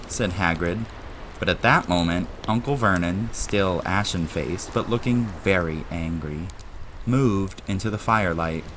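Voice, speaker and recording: A man reading dramatised story narration in an expressive storytelling style. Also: deep voice, measured pace, noisy background. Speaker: narrator and audio drama maker.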